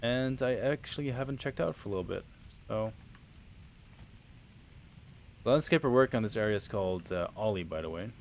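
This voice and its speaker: Dutch male streamer, low voice, laid-back rambling commentary, faint hiss behind. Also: fast, vocal fry. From Netherlands. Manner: relaxed, rambling, chatting to viewers while playing. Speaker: game streamer. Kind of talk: casual livestream commentary.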